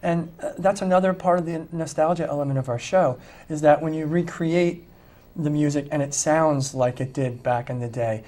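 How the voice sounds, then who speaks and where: A man's voice: fairly high pitch, normal speed, hardly any pauses, mellow. A man who plays in a tribute band, talking earnestly and reflectively in an interview, with children of his own. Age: adult with children. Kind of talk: interview conversation.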